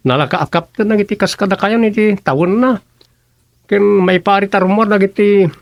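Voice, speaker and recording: A man's voice: high voice, gravelly, dry recording. A man playing a bossy, talkative townsman with a scolding, gossipy manner in a radio comedy-drama. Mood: scolding, advising.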